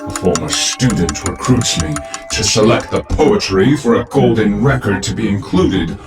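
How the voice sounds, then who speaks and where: A man's voice: lowish voice, animated, moderate pace. A man playing a grandiose, pompous older professor turned cosmic villain in an audio drama, speaking with theatrical deliberation. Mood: grandiose, brooding. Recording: roomy, distant echo under loud music.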